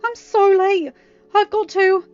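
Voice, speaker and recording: A woman's voice: very high-pitched, fairly even intonation, slow pace, lo-fi sound, pitched above the performer's own voice. A woman voicing an anxious, exclamatory, self-doubting teenage girl in an audiobook. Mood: panicked. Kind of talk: audiobook character dialogue.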